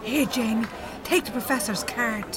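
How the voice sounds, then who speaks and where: An actress playing a businesslike bingo checker in an audio drama, announcing deliberately. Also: female voice, fairly high pitch, crowd noise, lightly acted.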